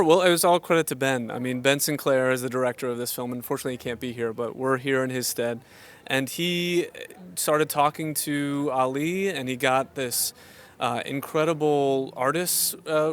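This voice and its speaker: A man's voice: medium pitch, normal speed. A man, a film producer, answering interview questions confidently.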